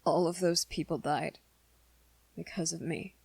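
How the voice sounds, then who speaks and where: A woman voicing a shy, earnest, lovestruck young male werewolf in an ASMR romance roleplay, with halting, hesitant, soft-spoken delivery. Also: deep voice, steady tone, creaky, breathy edge, no room sound, heavily acted. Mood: guilty, pleading.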